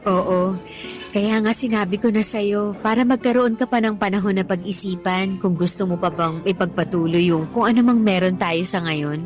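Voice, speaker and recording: A woman's voice: mid-range voice, normal speed, close to an everyday voice. A woman acting as a candid, talkative teacher and single mother on a date in a Tagalog radio drama. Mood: earnest, confiding. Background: background music.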